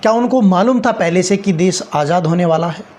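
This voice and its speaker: A man delivering a patriotic spoken address in an earnest, exhorting manner, with deliberate pauses and repeated phrases. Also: high voice, a little mellow. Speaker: speaker addressing viewers on a patriotic occasion.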